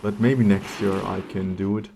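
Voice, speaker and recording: A man with a German accent, a native German speaker, giving halting, unscripted commentary on gameplay as he searches for words. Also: fairly low pitch, steady tone, creaky, dark tone, a little hiss or hum. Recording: game music playing behind. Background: video game music.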